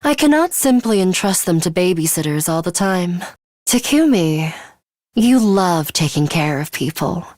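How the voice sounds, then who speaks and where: A composed schoolgirl fighter from a video game, arrogant and quietly bloodthirsty. Her voice is slightly low and very unhurried, with formal, exact phrasing and a faintly sinister edge. The sound is close and dry. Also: lively intonation, no room sound. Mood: coolly teasing. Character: serious, coolly arrogant young schoolgirl fighter with a bloodthirsty streak. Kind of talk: English video game voice acting, measured monologue.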